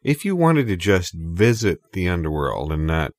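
A man improvising a polite, bemused talk show host in a comedy sketch, hesitant and deadpan. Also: low voice, normal speed, no echo.

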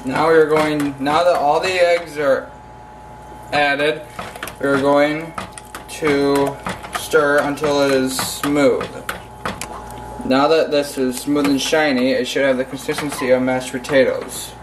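A teenage male giving step-by-step cooking instructions in a halting, recited way in a home kitchen. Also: on the high side, level tone. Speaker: cooking video presenter. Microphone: camera mic at a distance. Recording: distant camera mic, roomy, noisy background.

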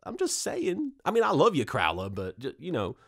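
A man's voice: a little high, wildly swooping pitch, normal speed, vocal fry, a bit bright. A man in his thirties giving a comedic, sarcastic recap of a cartoon episode with mock indignation. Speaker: reactor and recapper of an anime episode.

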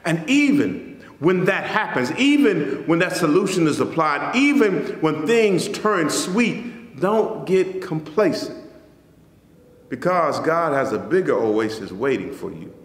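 A pastor in his forties preaching a sermon in a church sanctuary, measured and rising on key phrases, then dropping soft at the ends of lines. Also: fairly high pitch, very animated. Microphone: stand mic in front, camera at a distance. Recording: echoey church hall.

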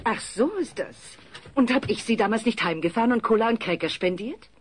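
A woman's voice: fairly high pitch, animated, a little fast, a little hiss or hum, natural voice. A woman playing a pointed, nosy office colleague in a comedy audio play.

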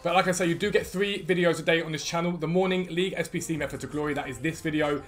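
A man in his thirties with a slightly croaky voice, chatting quickly and eagerly to viewers as a gaming YouTuber, recorded at a desk in a room at home. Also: a little high, fairly even intonation, faint music behind. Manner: rapid, chatty, enthusiastic. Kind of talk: energetic casual chat to viewers.

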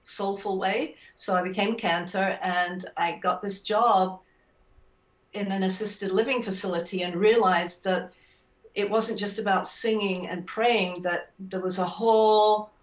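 A woman's voice: medium pitch, fairly even intonation. A woman from England in her sixties with an English accent, a guest speaking thoughtfully on a video call from her home. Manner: thoughtful, measured, with frequent um fillers. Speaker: guest author. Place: home room with bookshelves. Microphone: video call webcam. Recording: echoey room, voice a bit far from the mic.

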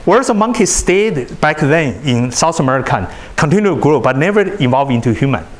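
A man whose native language is Chinese, giving a university lecture in a large hall, animated and often repeating words as he restarts sentences. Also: mid-range voice, a little fast. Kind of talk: classroom lecture.

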